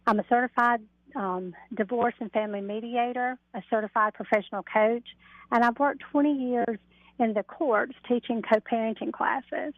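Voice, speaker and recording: A woman's voice: on the high side, steady tone, moderate pace, no echo. A middle-aged woman guest on a radio interview, explaining her work, heard over a narrow telephone line. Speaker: interview guest, mediator and coach. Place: phoned into a radio show.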